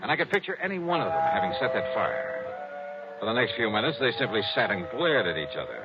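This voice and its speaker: A man's voice: mid-range voice, big pitch swings, quick pace, over loud music. An actor playing a wry, world-weary insurance investigator who narrates his case in a 1950s radio detective drama. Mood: sardonic narration. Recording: muffled vintage radio recording.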